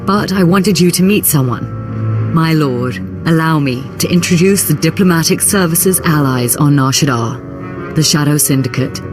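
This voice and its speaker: A woman's voice: low-pitched, normal speed, close to an everyday voice. Formal female imperial operative over music acted out by a woman. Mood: courteous, pleased. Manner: formal, polished, deferential. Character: smooth, ambitious female imperial diplomatic operative. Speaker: imperial special operations chief. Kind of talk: dramatic video game dialogue.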